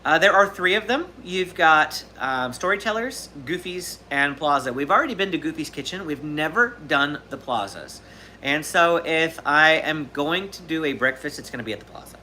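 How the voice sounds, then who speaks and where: A man's voice: fairly high pitch, expressive, moderate pace, sharp tone, a little hiss or hum. A man in his thirties hosting a live question-and-answer stream, talking casually and rambling with fillers and restarts. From Southern California. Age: 30s. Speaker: livestream host.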